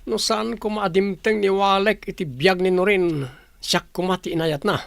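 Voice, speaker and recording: A man's voice: high-pitched, fairly even intonation, moderate pace, vocal fry, no echo. A man acting an earnest young man in an Ilocano radio drama, with an Ilocano accent. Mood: intense, pleading.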